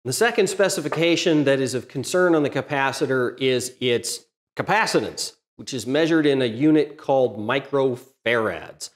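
A man's voice: medium pitch, expressive, normal speed. A middle-aged man hosting a workshop channel, explaining a welder modification to the camera in a plain, methodical way, recorded in his home workshop. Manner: methodical, plain-spoken, technical. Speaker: DIY welding channel host. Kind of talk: explanatory tutorial talk to camera.

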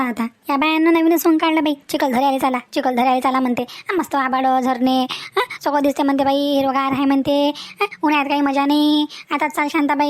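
A woman acting as a gossipy village housewife with a rural Vidarbha accent. Her voice is extremely high and she rattles along quickly. She grumbles in folksy, repetitive exclamations, in the manner of a comic story cartoon. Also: lightly acted. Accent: rural Vidarbha Marathi.

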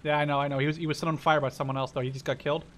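A young man chatting casually and excitably with a teammate as he plays a game, swearing freely. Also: a little high, very fast, slight background noise. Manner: excitable, profane banter. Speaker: gamer playing in a squad. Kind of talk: casual gaming chat.

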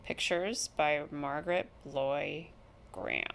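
A woman reads a picture book aloud for children. Her voice sits a little low for a woman. She tells the story deliberately and expressively, leaning on the key words. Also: vocal fry, a bit bright, a little hiss or hum. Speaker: storybook reader.

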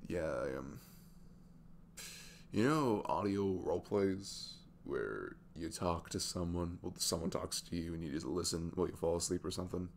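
Guy playing a sweet easygoing dad in his 20s, super gravelly velvet voice, intimate asmr chat, faint noise behind. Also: deep voice. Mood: candid, slightly sheepish. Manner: halting, chuckling, conversational. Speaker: audio roleplay voice actor.

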